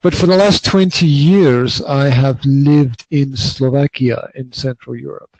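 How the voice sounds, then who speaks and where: A man from the north of Scotland with a Scottish accent, hosting a casual podcast chat indoors in his flat, often restarting his sentences and laughing. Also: mid-range voice, measured pace, dry recording, low-quality recording. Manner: stammering restarts, frequent laughter. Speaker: podcast host.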